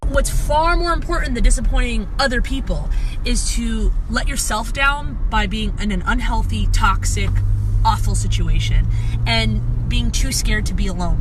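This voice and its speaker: A woman with a fairly high voice talks casually and emphatically, like someone giving advice in a vlog. Her delivery is conversational and direct. Clear background noise runs under her speech. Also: moderate pace. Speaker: relationship advice vlogger. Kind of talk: casual advice talk to camera.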